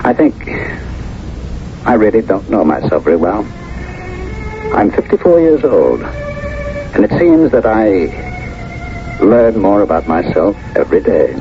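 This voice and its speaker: An actor playing a reserved American businessman in his fifties in a radio drama, measured and reflective, who also narrates his own story. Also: on the low side, big pitch swings, over music. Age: 50s. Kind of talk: 1970s radio drama acting and first-person narration. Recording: dull, noisy 1970s radio recording.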